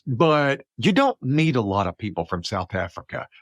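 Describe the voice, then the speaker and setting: A man's voice: medium pitch, very animated, moderate pace, no echo. A man from Alabama hosting a podcast interview, chatty and eager, often stumbling and restarting his questions. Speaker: podcast host.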